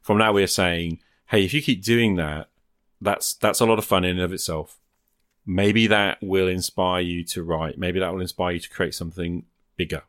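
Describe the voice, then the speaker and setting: A man's voice: low voice, steady tone, moderate pace, a bit bright, dry recording, clean studio sound. A man hosting a podcast in casual chat, rambling encouragingly as he thinks aloud. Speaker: podcast host.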